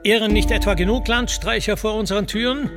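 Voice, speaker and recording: A man plays an arrogant suitor of ancient legend, scolding with haughty scorn. The voice is pitched high and declaims in radio-play fashion, with music underneath. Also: fairly quick. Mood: scornful anger.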